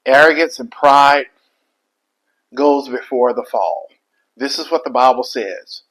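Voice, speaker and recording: A man giving preachy commentary in a deliberate, emphatic, moralizing way, with broken phrases. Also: mid-range voice, a bit bright, poor audio. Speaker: online commentator, life coach.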